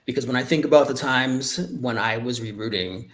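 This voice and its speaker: A man's voice: medium pitch, a little fast, a bit bright. Brief: male podcast co-host from Boston, mid-range voice, reflective and personal storytelling. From Roxbury, Boston. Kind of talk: casual podcast conversation.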